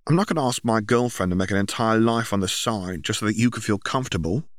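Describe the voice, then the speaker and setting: Audiobook older brother, male narrator acting, earnest and firm, lifted pitch, dry recording. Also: lively intonation, fast talker, no echo, lightly acted. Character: protective older brother, a young man defending his girlfriend.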